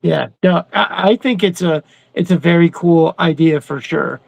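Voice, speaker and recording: Gravelly middle-aged man, casual chat. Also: fairly high pitch, unhurried, a little mellow, no room sound.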